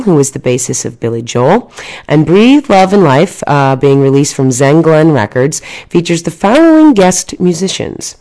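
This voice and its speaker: Low-voiced lady radio host, loud and chatty, lots of ums and uhs, gushing about her guest. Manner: chatty, enthusiastic, many fillers and restarts. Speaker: radio show host and numerologist. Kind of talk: casual radio interview chat.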